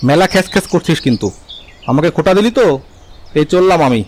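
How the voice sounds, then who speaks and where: A man's voice: fairly high pitch, animated, quick pace. A man playing a poor, henpecked but kindhearted village husband in a cartoon, given to grumbling and muttering. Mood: irritated.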